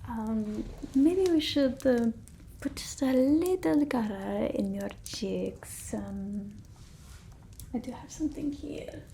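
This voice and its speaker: A woman's voice: on the high side, lively intonation, vocal fry, slight background noise, exaggerated voice. A woman voicing a fussy, condescending female vampire in ASMR roleplay, mostly whispered, close to the mic. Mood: doting, fussy. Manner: halting, fussy, gently bossy, blunt. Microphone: close ASMR microphone.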